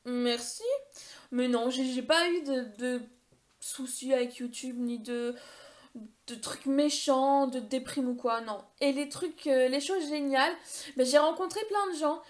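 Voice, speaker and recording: A woman's voice: high-pitched, measured pace. A woman in her thirties answering viewer questions in a casual vlog, chatty and rambling, with many hesitations and self-mocking humor. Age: 30s. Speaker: beauty YouTuber.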